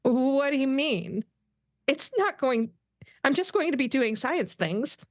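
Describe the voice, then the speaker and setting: A woman voicing an eager, excitable and anxious young science officer at a cartoon script table read. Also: sits high, takes it slowly, no room sound, studio quality, voice pushed up, full character voice. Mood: wary.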